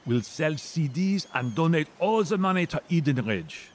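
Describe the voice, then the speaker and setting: An actor playing a devout, caring missionary husband in a radio drama. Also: male voice, fairly high pitch, sing-song, normal speed. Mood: earnest.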